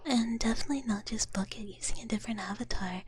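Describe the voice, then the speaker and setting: A woman streaming ASMR in a soft, breathy whisper, chatting casually and playfully with viewers up close to the microphone. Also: on the high side, quick pace, slight background noise. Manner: playful, speaks of self in third person. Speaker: ASMR livestreamer.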